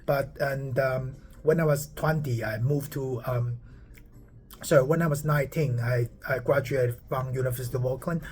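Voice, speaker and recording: A man's voice: medium pitch, steady tone, normal speed, darkish tone, a little hiss or hum. A man in his forties from Taiwan, a startup founder talking casually to camera on his phone, rambling and often stopping to ask "okay?". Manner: rambling, repeats words and asks "okay?" often.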